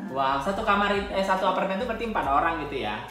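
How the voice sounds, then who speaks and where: Seorang wanita dari Indonesia dengan aksen Indonesia, mewawancarai dengan santai dan sering menimpali singkat. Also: female voice, mid-range voice, expressive, racing, a bit bright. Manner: interjects often with short agreeing reactions. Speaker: interviewer. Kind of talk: casual friendly interview chat. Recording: very echoey room.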